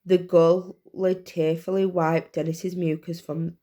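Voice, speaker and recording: A woman's voice: on the low side, flat delivery, slow pace, warm tone. A woman reading a children's storybook aloud as narrator. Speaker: reader, teacher.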